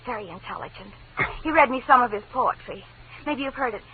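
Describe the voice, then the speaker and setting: An actress playing a naive, gullible young secretary in a 1940s radio drama, fluttery, hesitant and earnest. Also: female voice, on the high side, lively intonation, brisk, phone-quality sound. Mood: flustered, wistful. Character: scatterbrained, gullible, devoted young female secretary. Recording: thin, noisy old radio recording.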